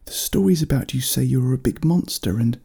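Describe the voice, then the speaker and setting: A man's voice: medium pitch, moderate pace, creaky, warm tone, husky, pitched above the performer's own voice. A man voicing a timid but curious young girl in a children's story, hesitant and questioning.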